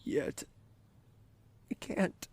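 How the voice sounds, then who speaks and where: An actor playing a young crown prince haunted by grief, speaking in a brooding, halting, dramatic way. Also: male voice, darkish tone. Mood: brooding, sorrowful. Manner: brooding, anguished, halting delivery. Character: grieving young crown prince haunted by his sister's death. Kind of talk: dramatic audio drama monologue.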